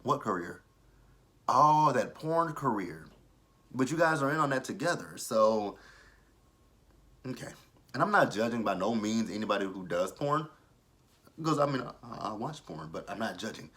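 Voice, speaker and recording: A man's voice: lowish voice, animated, normal speed, pauses often, sharp tone. A man of thirty giving a casual, blunt and opinionated review of a TV episode, swearing freely. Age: 30s. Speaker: TV show reviewer. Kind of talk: casual review vlog commentary.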